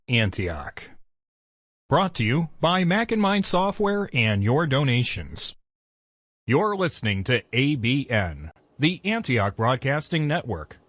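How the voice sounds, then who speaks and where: A man's voice: mid-range voice, a bit bright, no echo. A man works as a radio station announcer, reading scripted continuity. His intonation moves up and down a lot. The sound is very dry, with a muffled, lo-fi top end.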